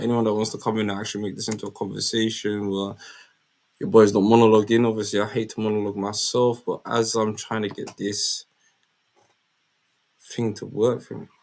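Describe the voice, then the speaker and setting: A man's voice: on the low side, vocal fry, darkish tone. A man from Somaliland with a British accent, hosting a casual live chat stream in an informal, slangy way.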